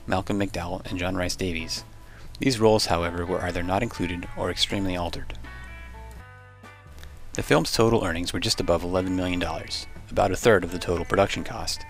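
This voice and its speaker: A man's voice: sits low, a bit bright. A man reading scripted documentary narration over background music. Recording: music under the voice.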